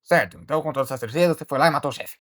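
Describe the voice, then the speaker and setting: A man voicing a blunt, exasperated alligator detective, a ship's guard who questions people in a casual, muttering way, in an animated film. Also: fairly high pitch, rapid-fire, lightly acted. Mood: suspicious. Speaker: ship security guard turned detective. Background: faint music.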